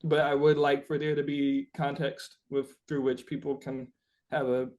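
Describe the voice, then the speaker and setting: A man's voice: mid-range voice, level tone, moderate pace, darkish tone. A man being interviewed as a musician, chatting casually and reflectively, searching for his words with informal, sweary phrasing.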